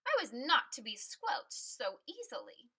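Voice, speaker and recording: A woman's voice: high voice, very animated, unhurried, pushed and bright, husky, heavily acted. A woman reading a storybook and voicing a bold, determined woman crusader with a firm, declarative, oratorical manner.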